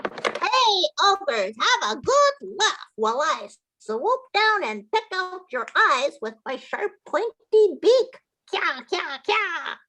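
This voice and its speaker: A woman's voice: very high voice, sing-song, slow, sharp tone, full character voice, rough recording. A woman playing a polite, eager pheasant in a dramatic folktale reading, with exclamatory delivery. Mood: taunting. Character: polite, eager pheasant companion with a sharp beak.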